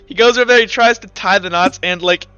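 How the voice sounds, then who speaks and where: A man narrating and refereeing a tabletop role-playing game among friends, in an informal, animated storytelling way. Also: sits high, fast, smooth, no room sound, highs cut off, soft background music. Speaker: game master.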